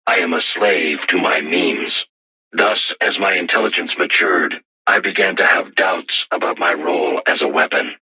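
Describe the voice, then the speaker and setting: A man voicing a solemn self-aware robot war machine in a video game, with formal, measured, stilted diction and robotic processing on the voice. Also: deep voice, bright, forceful tone, slightly rough, full character voice, rough recording. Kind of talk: scripted video game voice acting.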